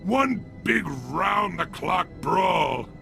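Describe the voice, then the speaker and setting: An actor playing a brutish, bloodthirsty alien gangster in a video game, with a rough voice and crude, sneering street-thug talk. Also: male voice, high voice, expressive, drawn-out words, bright, forceful tone, faint music behind, exaggerated voice. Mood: boastful, nostalgic. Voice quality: rough, gravelly. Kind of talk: video game character acting.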